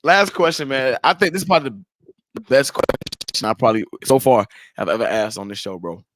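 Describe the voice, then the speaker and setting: A man's voice: mid-range voice, fairly quick, fairly bright tone, slight background noise. A man in his twenties hosting a casual podcast chat, slangy and laid-back, laughing often. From Haiti. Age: 20s. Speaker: podcast host.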